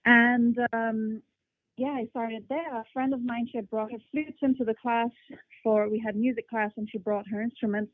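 A woman's voice: a little high, fairly even intonation, moderate pace, dry recording. A young adult woman with a mixed international English accent, answering interview questions thoughtfully, with fillers and restarts. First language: English and Portuguese. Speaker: flautist guest. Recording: tinny phone-like line.

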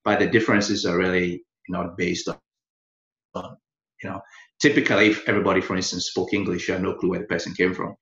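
A man from Ghana, a lawyer and guest on a podcast, telling his story in a relaxed way and laughing now and then. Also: low-pitched, fast. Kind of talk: interview storytelling. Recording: echoey, dull lo-fi sound.

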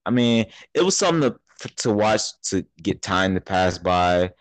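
A man's voice: low voice, big pitch swings, normal speed, no room sound. A young man hosting a podcast, chatting casually and rambling, often restarting his sentences. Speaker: podcast host. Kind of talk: casual chat.

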